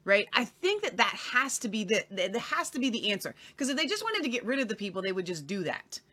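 Emphatic bright-voiced woman co-host. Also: mid-range voice, big pitch swings, quick pace. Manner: emphatic, asks repeated questions. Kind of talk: opinionated casual discussion.

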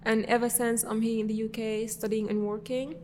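A woman's voice: a little high, level tone, fairly quick, warm tone, long reverb tail, a little hiss or hum. A young woman from Syria, a podcast guest, answering casually with many fillers and restarts.

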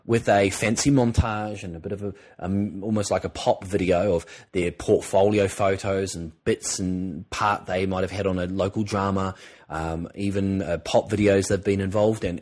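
A croaky, husky man with a fairly low voice, co-hosting a casual podcast. He talks earnestly and encouragingly, rambling and restarting his sentences as he gives advice. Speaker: podcast co-host, presenter coach.

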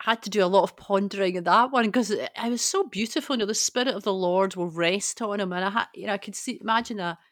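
A woman's voice: medium pitch, quick pace, few pauses, dry recording, clean studio sound. A woman co-hosting a conversational, reflective Bible discussion with a personal touch. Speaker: podcast co-host.

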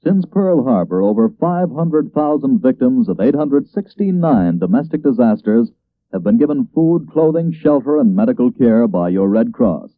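A man's voice: medium pitch, sing-song, moderate pace, no room sound. An American man reading a scripted appeal as a 1940s radio announcer. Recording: dry, tinny old radio recording.

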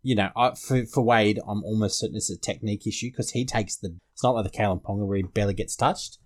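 A man's voice: lowish voice, quick pace. An Australian man co-hosting a sports podcast in an informal, conversational style. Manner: informal, conversational, addresses co-hosts as guys. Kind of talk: casual fantasy rugby league chat.

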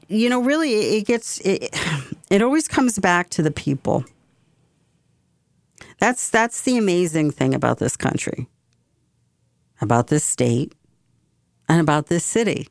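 A woman's voice: lowish voice, no echo, studio quality. A woman hosting a community radio talk show, speaking with passion and repeating phrases for emphasis. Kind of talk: opinionated talk radio monologue.

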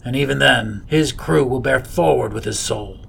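A man's voice: medium pitch, moderate pace, gravelly, a bit bright, slightly rough, heavily acted. A man voicing a stern inner captain who speaks in firm declarations.

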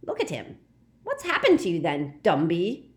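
Lady doing a wheezy giggly bully guy, yells and jeers a lot. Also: fairly low pitch, wildly swooping pitch, moderate pace. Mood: mocking. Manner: jeering, yelling, violent.

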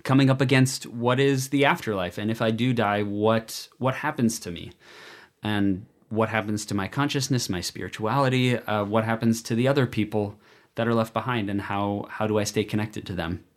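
A young man, a stage actor, telling stories in a casual interview, animated and full of fillers. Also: on the low side, normal speed. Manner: animated storytelling with many fillers.

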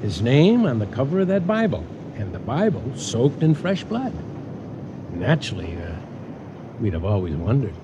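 A respectful officer played by a man reports in a matter-of-fact way in a radio play. His mid-range voice moves widely in pitch over clear vehicle noise. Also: big pitch swings, moderate pace, close to an everyday voice. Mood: earnest, grim. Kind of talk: radio drama acting.

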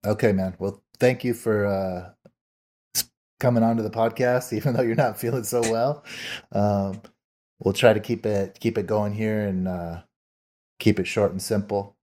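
Low, mellow-voiced podcast host. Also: male voice, normal speed. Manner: relaxed with frequent fillers and restarts. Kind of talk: casual interview chat.

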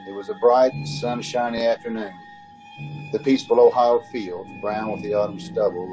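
A man's voice: on the low side, lightly acted, rough recording. A man playing a haunted, uneasy motorist who tells his story in an old-time radio drama. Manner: measured narrating, nervous stammering in dialogue. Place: home, recorded over a video call.

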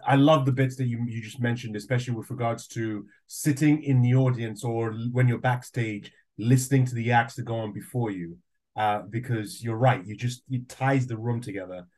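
A man's voice: medium pitch, fairly even intonation, moderate pace, a little mellow. A man who grew up in Nigeria, an aspiring comedian interviewing a guest with enthusiasm and a joking tone. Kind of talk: casual conversational interview.

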